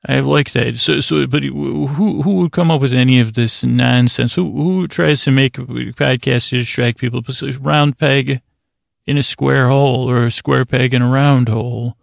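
Creaky-voiced man rambling a bedtime monologue. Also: mid-range voice, fairly even intonation, no room sound, studio quality. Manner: meandering, stop-and-start, drawn-out soothing delivery. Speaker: podcast host. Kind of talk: rambling bedtime monologue to lull listeners to sleep.

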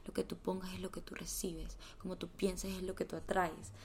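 A young woman, hosting a podcast in a heartfelt, motivational chat that often sinks to a soft whisper. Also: fairly low pitch, little pitch movement, a little fast, a little hiss or hum. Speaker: podcast host.

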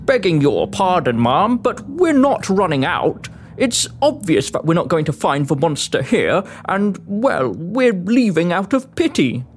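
Deferential, plain-spoken foreman, played by a woman, pitched low, for a period audio comedy, noisy recording. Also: big pitch swings, unhurried. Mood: deferential, pitying. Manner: deferential, blunt. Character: apologetic but firm working-class male expedition foreman. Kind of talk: comic period audio drama.

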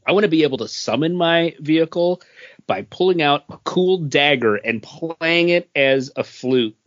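A man's voice: fairly high pitch, normal speed, fairly bright tone, dry recording. A man co-hosts a pop-culture podcast, talking informally and with enthusiasm. The sound is dry and close, with a slightly dull, lo-fi edge. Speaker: podcast co-host. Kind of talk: casual pop-culture chat.

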